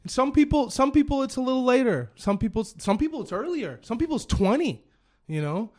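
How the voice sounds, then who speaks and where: A man in his thirties, a business owner guest telling his story in a casual, animated way and often repeating phrases. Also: very high voice, brisk. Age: 30s. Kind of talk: casual conversation.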